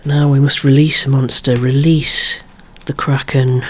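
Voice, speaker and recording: Casting: hushed, breathy ASMR whisperer, a chatty collector showing off toys with wry, rambling asides. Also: mid-range voice, flat delivery, unhurried. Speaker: toy collector presenting own collection. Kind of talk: whispered ASMR show-and-tell of a toy collection.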